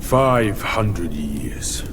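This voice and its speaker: An actor playing a proud, brooding lord of a mountain temple in a video game, grand and deliberate. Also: male voice, low-pitched, expressive, background noise, full character voice. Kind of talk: dramatic video game cutscene acting. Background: cinematic music.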